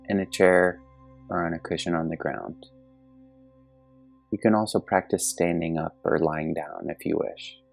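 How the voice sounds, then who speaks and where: A man leading a guided meditation as an instructor, speaking gently and calmly with measured pauses. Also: sits low, creaky. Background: soft meditation music with singing bowl tones.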